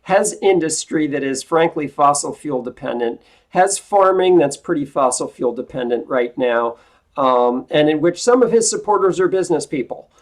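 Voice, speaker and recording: A woman instructor playing a politician's aide in a classroom role-play, talking frankly and informally over a video call, her voice lower than usual today. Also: animated, normal speed, darkish tone. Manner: frank, informal, restarts often.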